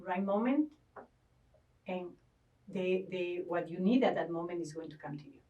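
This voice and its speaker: A woman's voice: on the low side, moderate pace, dark tone, far from the mic, muffled recording. A woman from Ecuador, a guest talking earnestly about spirituality, often stopping to search for words. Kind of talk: spiritual conversation.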